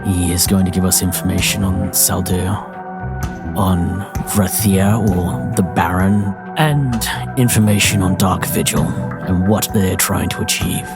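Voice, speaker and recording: A man plays a guarded, earnest adventurer in a fantasy game. He speaks in a low voice, measured and serious, with music playing behind. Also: steady tone, gravelly. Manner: serious, plain-spoken.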